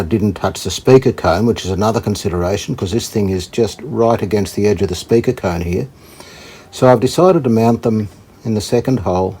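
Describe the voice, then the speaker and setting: A man giving casual hands-on commentary as a hobby restorer, thinking aloud in a tentative, halting way. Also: fairly low pitch, darkish tone. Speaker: host, hobbyist radio restorer. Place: indoors, hobby workshop. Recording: clear background noise.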